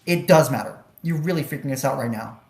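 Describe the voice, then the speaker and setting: A woman's voice: a bass voice, a little fast, vocal fry, darkish tone, soft background music. A woman in an amateur anime fan dub playing a suspicious, hot-tempered teenager, recorded in an echoey room. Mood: accusing.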